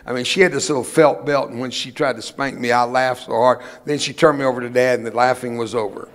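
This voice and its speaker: A man's voice: medium pitch, fairly even intonation, quick pace, big-room sound, slight background noise. An older man whose first language was Spanish, preaching a folksy sermon with humorous personal stories in a church. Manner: humorous storytelling, emphatic preaching. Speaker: guest preacher. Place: church sanctuary.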